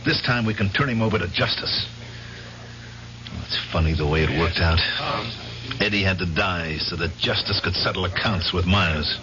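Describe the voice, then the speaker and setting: Earnest, low-voiced radio drama investigator played by a man. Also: moderate pace, natural voice. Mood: grimly resolved. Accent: American. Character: determined amateur investigator hunting a killer, husband. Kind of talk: American old-time radio drama acting. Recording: muffled radio drama tape with music.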